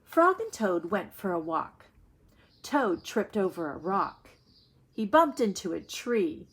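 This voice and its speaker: Female primary reading teacher, very unhurried, deliberate and explanatory, reading a story aloud and thinking out loud. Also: medium pitch, sing-song, husky, rough recording. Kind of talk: read-aloud lesson for young children, thinking aloud.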